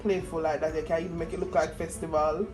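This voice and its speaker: A young woman with a Jamaican accent chatting casually in Patois as a teasing, animated cooking co-host. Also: low-pitched, fast, reverberant. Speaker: cooking vlog co-host, the daughter. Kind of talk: casual kitchen chat. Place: home kitchen. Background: music.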